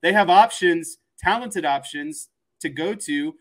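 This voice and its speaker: A man's voice: fairly high pitch, measured pace. A man co-hosting a sports show, laying out casual analysis point by point in an explanatory way. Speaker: sports podcast co-host.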